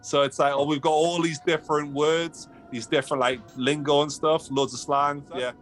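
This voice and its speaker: Animated Geordie man chatting. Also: a little high, normal speed, soft background music. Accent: Geordie (Newcastle). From Newcastle, England. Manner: animated, repeats himself, swears casually. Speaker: guest. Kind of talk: casual podcast chat.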